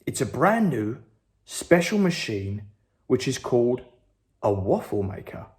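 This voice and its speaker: A man voicing a kindly old grandfather in a children's story, speaking low and softly. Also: very animated, a little slow. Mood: gentle.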